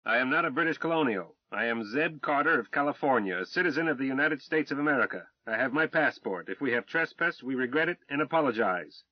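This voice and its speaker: A man voicing a calm, even-tempered American pilot who speaks in a firm, measured way. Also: medium pitch, dry recording, natural voice. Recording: dull, dry sound.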